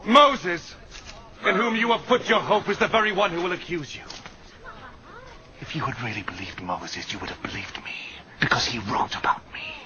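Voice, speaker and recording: An actor playing Jesus as a fervent preacher challenging unbelievers in a film, emphatic and declamatory. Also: male voice, fairly high pitch, lively intonation, moderate pace, close to an everyday voice. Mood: impassioned, then hushed intensity. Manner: declamatory, emphatic, swinging from hushed to shouting. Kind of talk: dramatic film acting of scripture. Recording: muffled film soundtrack with loud noise.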